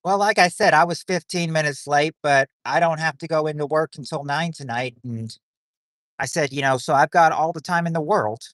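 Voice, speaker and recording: A man's voice: fairly high pitch, moderate pace, fairly bright tone, dry recording. Rambling male livestream host, dry sound. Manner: rambling, reads chat aloud and greets viewers. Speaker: livestream host playing a quiz game. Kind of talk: casual livestream chat.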